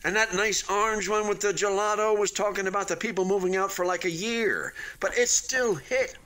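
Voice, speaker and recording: An actor in a radio play voicing an unfinished hotel building, a wistful but stubbornly hopeful man who talks in a halting, conversational way. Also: sits high, pushed and bright. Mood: wistful, subdued. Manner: halting, conversational, with sighs and emphatic swings.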